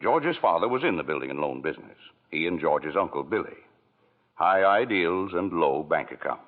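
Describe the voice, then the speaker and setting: Casting: a calm senior male angel narrator, very low, husky, lively delivery. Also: moderate pace, narrow, tinny audio. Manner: calm storyteller, explaining. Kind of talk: 1940s radio acting. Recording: scratchy 1940s radio broadcast.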